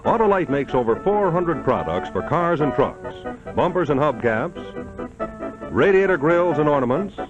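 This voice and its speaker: A man announcing as a sponsor's pitchman in emphatic, measured 1940s commercial style. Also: sing-song. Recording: dull vintage broadcast with music behind.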